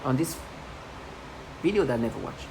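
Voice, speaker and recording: A woman's voice: very deep voice, sing-song, normal speed, creaky. A woman in her thirties in a rambling personal monologue, agitated and repetitive, with many restarts. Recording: noisy home recording.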